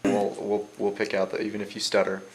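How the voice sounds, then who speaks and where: A man casually prompting students in an interview as their director, giving halting, repetitive instructions. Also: lowish voice, completely flat delivery, a loud crowd, rough recording. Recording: faint background noise.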